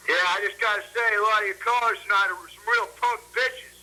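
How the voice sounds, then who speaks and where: A man making a prank phone call to a live show, halting and needling with mock earnestness over a tinny line. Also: high-pitched, steady tone, sharp tone. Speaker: prank caller. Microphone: telephone.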